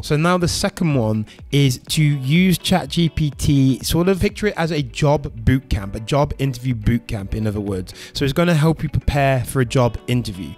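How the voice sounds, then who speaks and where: A man's voice: mid-range voice, a little mellow. A man in his thirties, hosting a casual tech vlog in an enthusiastic, chatty way from a home studio on a close desk microphone. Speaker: tech YouTuber host. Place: home studio room with colored lights.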